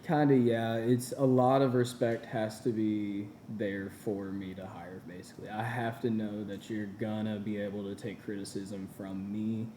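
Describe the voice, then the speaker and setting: Earnest young man, mellow and roomy. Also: on the low side, level tone, normal speed, few pauses, slight background noise. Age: 20s. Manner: earnest and encouraging, with repeated phrases. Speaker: business owner and guest. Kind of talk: casual interview answers.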